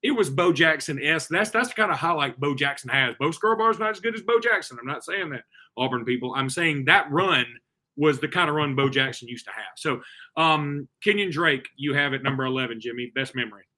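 A man's voice: on the high side, animated, a little fast, bright, forceful tone. A man hosting a casual sports talk show, chatty and opinionated as he leads the conversation.

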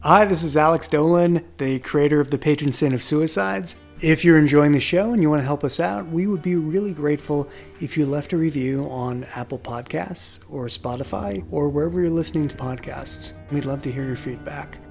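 A man makes a scripted appeal to podcast listeners. His voice is fairly high for a man and creaky, with faint music behind it. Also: moderate pace, darkish tone. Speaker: podcast creator.

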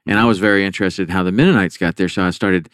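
Low-voiced podcast host, relaxed. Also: male voice, rapid-fire, clear, resonant tone, no room sound, clean studio sound. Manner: relaxed, conversational, admiring. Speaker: podcast host, professional angler. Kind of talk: podcast intro and interview.

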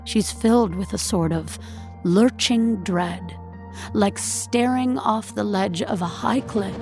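A woman narrating a dramatic audio story in a measured, deliberate, literary way. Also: medium pitch, a little mellow. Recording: studio narration over faint music.

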